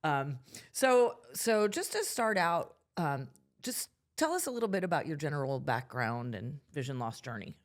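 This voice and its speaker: Casting: female podcast host, playful and folksy, lowish voice that swings widely in pitch. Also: big pitch swings, moderate pace. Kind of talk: casual, chatty interview.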